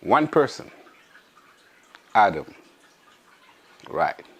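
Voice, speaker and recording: Podcast host, hoarse, very low voice, slow and searching. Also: male voice, sing-song. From Africa. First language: an African language. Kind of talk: casual monologue.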